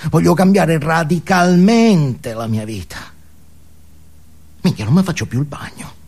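A man's voice: fairly high pitch, a little slow, vocal fry. An actor playing an unhinged, foul-mouthed, alienated man in an intense, volatile comic stage monologue. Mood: desperate, manic. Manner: intense, volatile, emphatic, swinging from muttering to yelling.

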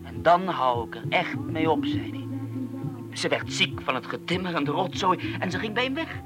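An actor playing an unsettled man, oversensitive to noise, who talks in a slow, brooding monologue for a radio play. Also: a little high, sing-song, music in the background. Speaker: troubled man being questioned about a friend's death.

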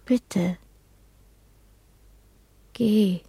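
A woman playing a traumatised young woman in a trance in a radio drama, whispering haltingly, word by word. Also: medium pitch, dark tone, breathy edge, no room sound, heavily acted. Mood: dazed, frightened.

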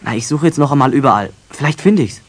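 An actor playing a polite, eager young apprentice with a Bavarian accent in a children's radio play. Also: male voice, medium pitch, expressive, fast talker, lightly acted. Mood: earnest. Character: eager young male apprentice, polite, indignant when suspected.

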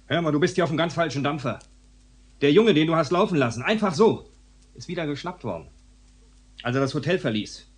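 An actor playing a hard-nosed homicide detective in a radio drama, sarcastic and goading. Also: male voice, on the high side, lively intonation, fairly quick, long pauses, lightly acted. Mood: smug, goading. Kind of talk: dialogue-driven radio drama acting.

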